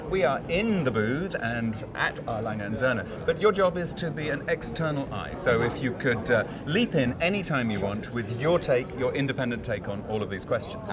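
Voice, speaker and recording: A man hosting a live panel interview at a busy trade fair, upbeat and chatty. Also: mid-range voice. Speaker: host. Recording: crowd chatter behind.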